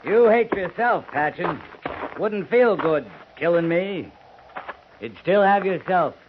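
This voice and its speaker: An actor playing a calm, steely cavalry captain who speaks quietly and is unshaken, in a 1950s radio drama. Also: male voice, sits high, slow pace. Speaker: Captain Lee Quince. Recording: dull old radio recording with hiss.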